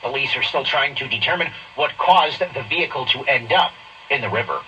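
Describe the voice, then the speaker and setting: A man's voice: medium pitch, expressive, a little fast, sharp tone. A man reading a scripted local news bulletin, heard through the speaker of an old radio. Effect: played through an old radio speaker. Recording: distant, very noisy vintage radio speaker.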